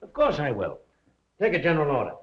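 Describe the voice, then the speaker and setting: A man's voice: fairly high pitch, huge pitch swings, fast. A man playing a proud, condescending police commissioner with pompous 1930s film delivery. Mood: smug. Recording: dull lo-fi 1930s film soundtrack.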